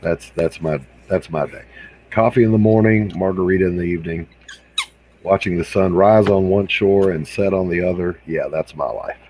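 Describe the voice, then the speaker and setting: A man's voice: low-pitched, moderate pace, dark tone, slight background noise, rough recording. A man from South Carolina with an American accent, chatting casually in a wry, joking way. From Hilton Head Island, South Carolina. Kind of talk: casual chat.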